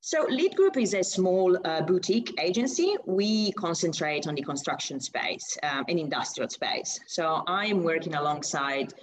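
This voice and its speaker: A young woman from Italy speaks English with a clear Italian accent. Her voice sits low for a woman, and she answers in a chatty, conversational way, restarting phrases and filling pauses as she thinks. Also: lively intonation, poor audio. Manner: chatty, with frequent fillers and restarts. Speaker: guest, recruitment consultant. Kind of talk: casual interview answers.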